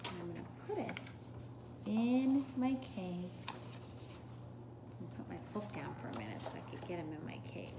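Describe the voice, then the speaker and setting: A woman in her forties giving a storybook read-aloud and craft demonstration in a deliberate, instructional way, recorded in a home kitchen from a step or two away. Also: on the low side, very animated. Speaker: teacher reading a picture book aloud. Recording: echoey room with clear background noise.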